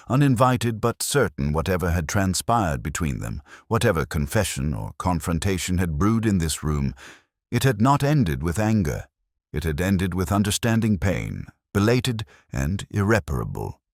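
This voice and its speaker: A man narrating a scripted first-person detective story in a measured, solemn, literary manner. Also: very deep voice, dry recording, studio quality.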